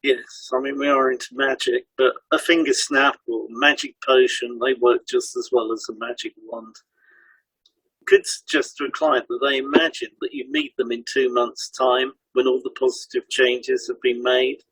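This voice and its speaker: A man presents instructional material as a podcast co-host, reading from a script. His voice is husky and mid-pitched. The sound is dry, with very little room around it. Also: level tone, moderate pace, a bit bright, no room sound, low-quality recording.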